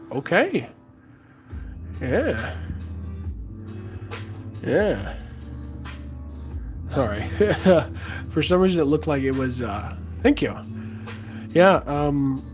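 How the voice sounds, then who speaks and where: Hoarse, slow-talking man streaming. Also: medium pitch. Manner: halting, many fillers, answers chat as he works. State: hoarse, raspy voice after being sick. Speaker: livestreamer sculpting and chatting with viewers. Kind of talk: casual livestream chat. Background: music playing softly.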